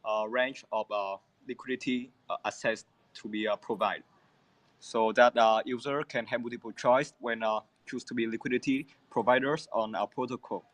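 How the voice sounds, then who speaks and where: A man from a crypto project clarifying answers, pausing often on "uh" between phrases. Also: mid-range voice, steady tone, a bit bright, no room sound. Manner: measured, with frequent "uh" pauses. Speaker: crypto project team member. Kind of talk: answering AMA questions.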